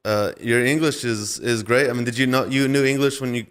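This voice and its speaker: A man's voice: medium pitch, fairly even intonation, fairly quick, a bit bright, smooth. A young man hosting a podcast, laid-back and jokey, casually interviewing a guest. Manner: laid-back, jokey, calls people dude. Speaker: podcast host.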